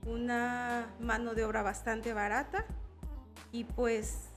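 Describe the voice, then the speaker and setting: Salvadoran woman in her 40s, reflective activist guest, fairly high voice, faint music behind. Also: little pitch movement, slow. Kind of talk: personal testimony in interview.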